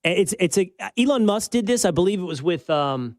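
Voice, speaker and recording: A man with an American accent hosting a talk show, giving conversational, emphatic political commentary with pauses for effect. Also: high voice, expressive, darkish tone, no echo, studio quality. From United States.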